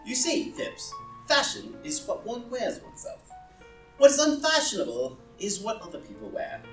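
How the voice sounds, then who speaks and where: A woman's voice: on the high side, huge pitch swings, moderate pace. A woman playing a foppish, idle, witty young lord in a comedy play reading, languid and arch. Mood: airy self-regard. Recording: roomy live reading, distant mic, music.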